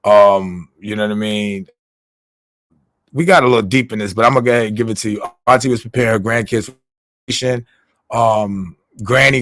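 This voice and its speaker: A man's voice: on the low side, dry recording, studio quality. A man giving a casual, rambling recap on a livestream, full of fillers, restarts and swearing. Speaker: livestream commentator.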